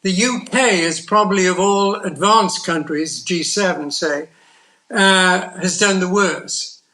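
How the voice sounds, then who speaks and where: An older man from the United Kingdom speaking as a panel guest, measured and deliberate as he searches for words. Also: high-pitched, pushed and bright, poor audio. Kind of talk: panel discussion.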